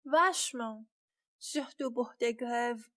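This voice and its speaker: A woman playing a gentle, frank young fairy-tale heroine in a student radio play. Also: on the high side, slow. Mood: frank, concerned.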